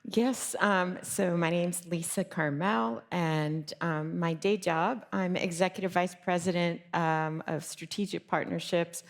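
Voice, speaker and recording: A woman executive speaking as a panelist at a conference, chatty, with many fillers, rattling off lists quickly. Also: on the low side, fairly even intonation. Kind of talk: panel discussion. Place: conference hall. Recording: reverberant conference hall.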